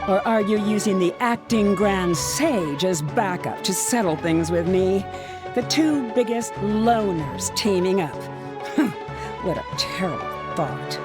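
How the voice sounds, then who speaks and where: A woman's voice: mid-range voice, animated, slow pace, over loud music. A woman voicing a proud, resentful young woman in a video game, speaking in a sarcastic, curt manner. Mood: scornful.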